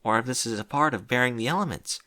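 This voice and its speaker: A man's voice: medium pitch, quick pace, bright, forceful tone, natural voice. A man voicing an earnest, anxious female unicorn who takes charge, speaking urgently in a cartoon-style story reading. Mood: worried. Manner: urgent, insistent.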